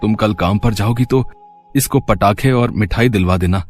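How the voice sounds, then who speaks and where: A man voicing a calm, kindly family father in a storybook tale, mild and reassuring. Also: on the low side, moderate pace, faint music behind, close to an everyday voice. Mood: tired, caring. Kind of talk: acted children's story dialogue.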